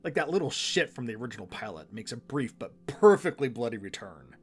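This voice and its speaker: A man's voice: medium pitch, big pitch swings, a little fast, husky, soft background music. A man giving scripted back-and-forth commentary as co-host of a fan show.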